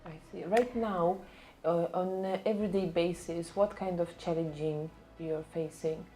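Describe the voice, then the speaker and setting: A woman interviewing a patient, asking short questions and giving soft backchannels. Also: on the low side, steady tone, unhurried, faint music behind.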